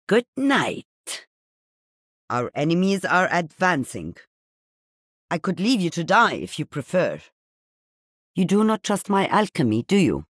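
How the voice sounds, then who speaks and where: An actress playing a stern, wise older woman alchemist in a video game, speaking in a solemn, formal, measured manner. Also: deep voice, no echo, studio quality, exaggerated voice. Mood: commanding. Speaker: game character voice actor. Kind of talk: scripted video game voice lines.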